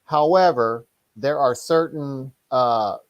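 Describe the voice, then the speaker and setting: A middle-aged man speaking casually as an interview guest and martial arts instructor, rambling and halting as he explains things. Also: medium pitch, lo-fi sound.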